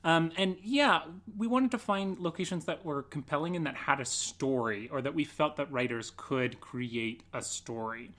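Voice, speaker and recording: A man's voice: a little high, moderate pace, hardly any pauses, fairly bright tone. Casual man telling stories. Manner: informal storytelling with frequent "like". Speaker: guest theatre artist. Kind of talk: casual interview chat.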